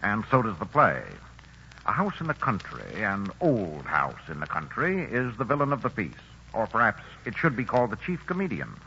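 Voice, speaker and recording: A man's voice: fairly low pitch, wildly swooping pitch, normal speed, pushed and bright, slight background noise. A man announcing next week's play in a polished, jovial radio-promo style. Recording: dull lo-fi 1940s radio broadcast with music.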